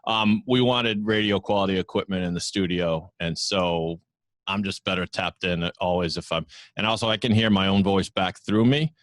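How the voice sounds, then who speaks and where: A man's voice: deep voice, fairly even intonation, moderate pace, dry recording. A man talking casually as a guest on a video call from his studio microphone, chatty and self-deprecating. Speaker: podcast network founder, guest. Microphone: studio microphone with headphones.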